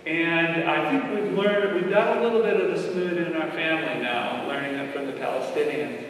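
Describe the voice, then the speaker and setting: A man's voice: on the high side, moderate pace, fairly bright tone. An older man with an American accent giving an informal public talk. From United States. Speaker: guest speaker. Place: large echoing indoor hall. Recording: echoey hall, far mic, noisy.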